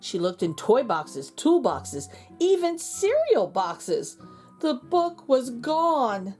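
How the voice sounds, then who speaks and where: A woman's voice: fairly high pitch, huge pitch swings, takes it slowly, a little mellow, soft background music. A woman reading a children's storybook aloud as host, narrating expressively for young listeners.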